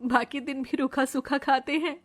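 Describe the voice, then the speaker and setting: A woman's voice: sits high, steady tone, moderate pace, natural voice. A woman voicing an emotional, devoted woman in a melodramatic cartoon family tale, with an earnest, plaintive delivery.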